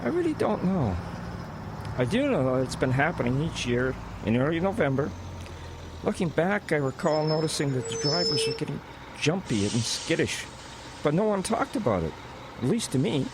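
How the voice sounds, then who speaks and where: A man playing a practical, easygoing boss of delivery drivers in an audio drama, talking in a measured, matter-of-fact way. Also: fairly high pitch, big pitch swings. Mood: thoughtful. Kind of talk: audio drama acting, naturalistic dialogue. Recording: clear vehicle and outdoor noise behind.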